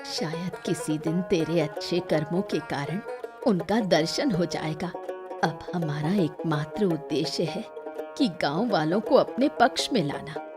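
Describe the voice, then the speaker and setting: A woman's voice: fairly low pitch, music in the background, natural voice. A woman voicing a firm village mother. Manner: calm, firm and measured. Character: determined, wise village mother. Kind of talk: Hindi cartoon dubbing.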